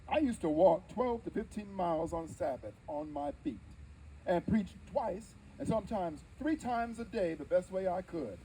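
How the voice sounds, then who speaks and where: A man playing a dignified, wry nineteenth-century preacher, telling his story in emphatic theatrical oratory with deliberate dramatic pauses. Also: high-pitched, expressive, dark tone, slight background noise, low-quality recording. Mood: earnest, wry. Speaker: live history interpreter. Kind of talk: theatrical first-person oratory before an audience.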